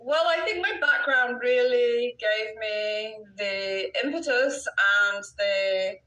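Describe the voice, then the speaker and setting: A woman's voice: on the high side, slow, bright, forceful tone, roomy sound, low-quality recording. An older woman from Wales with an English-sounding British accent, a real estate broker answering interview questions in a self-assured, chatty way and laughing often.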